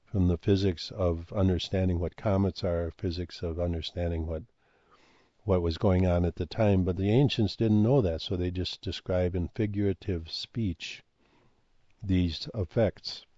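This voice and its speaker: Deep, dark-voiced older man, hoarse. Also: steady tone, dry recording, dull audio. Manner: halting, many fillers and restarts. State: losing his voice. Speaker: speaker on ancient history and comets. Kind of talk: rambling monologue.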